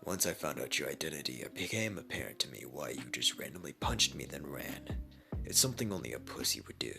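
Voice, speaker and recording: A man's voice: extremely low pitch, brisk, gravelly, faint music behind, full character voice. A man doing a cold, arrogant young ninja. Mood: contemptuous. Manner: flat, cold, contemptuous.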